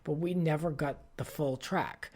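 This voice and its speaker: A man's voice: on the high side, a little slow, over loud music. A man hosting a podcast, giving a casual, exasperated and opinionated fan commentary peppered with "like". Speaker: podcast host. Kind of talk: casual pop-culture commentary rant.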